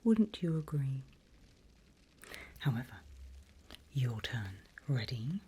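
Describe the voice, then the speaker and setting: Female demon mentor, acted by a woman for whispered ASMR roleplay: slow, hushed, very breathy, vain and slyly teasing. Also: a bass voice, big pitch swings, exaggerated voice. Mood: sly, ominous. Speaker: demon guide training a newcomer.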